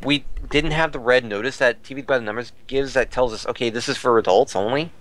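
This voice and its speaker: A man's voice: mid-range voice, brisk, fairly bright tone, slight background noise. A man is talking in a casual podcast discussion. He explains things thoroughly and reports details as he goes. He often digresses to add context before returning to his point. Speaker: podcast panelist.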